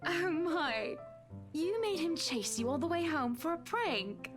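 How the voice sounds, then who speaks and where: A woman's voice: very high-pitched, sing-song, unhurried, full character voice. A woman playing a refined, polite, snobbish socialite wife in a video game, with a prim, genteel manner. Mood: mildly disapproving. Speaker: character voice actor in a visual novel. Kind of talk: voiced game dialogue. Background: music.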